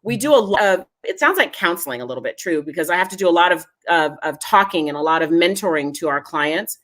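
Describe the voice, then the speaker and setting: A woman's voice: lowish voice, expressive, fast talker, a bit bright. A woman speaking as a genealogy foundation head in a casual interview, earnest and reassuring as she gives advice.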